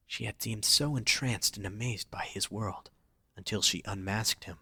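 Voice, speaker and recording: A man's voice: medium pitch, pushed and bright, slightly rough, no room sound. A man narrating a scripted romantic story for an audio drama, reading dramatically.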